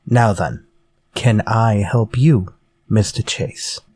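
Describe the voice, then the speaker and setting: Man acting suave sinister intruder, unhurried. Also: on the low side, a little mellow, slightly rough, no echo. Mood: mock-polite, amused. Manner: drawling, mock-polite, amused. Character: suave, teasing, sinister male murderer intruder. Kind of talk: retro radio drama acting.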